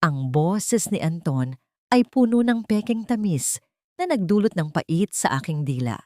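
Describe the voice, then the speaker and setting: A woman reading a scripted first-person story in a solemn, melodramatic, deliberate manner. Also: fairly low pitch, animated, darkish tone, no echo, clean studio sound.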